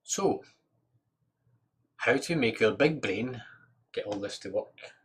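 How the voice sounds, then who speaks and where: Low-voiced Scottish man thinking aloud. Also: lively intonation, slow. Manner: informal, muttering to himself, thinking aloud. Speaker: livestream host. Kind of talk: casual livestream chat while working on PC parts.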